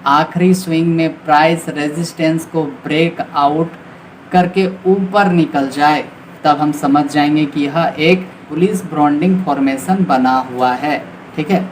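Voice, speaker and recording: A man's voice: on the high side, steady tone, unhurried. A man with an Indian accent giving an informal, explanatory beginners' lesson as a trading tutor, often checking in with short confirmations. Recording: clearly noisy recording with background ambience.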